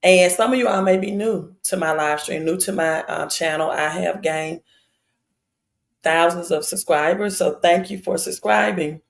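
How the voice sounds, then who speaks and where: A woman hosting a casual live stream, her voice raspy and hoarse, addressing viewers directly and repeating phrases for emphasis. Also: fairly low pitch, moderate pace.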